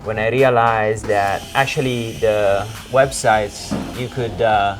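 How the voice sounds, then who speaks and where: Man, 40s, Italian accent, fairly low pitch, hesitant phrasing, informal interview, music behind. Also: fairly even intonation, takes it slowly. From Italy. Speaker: advertising creative director. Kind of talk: informal interview, telling own career story.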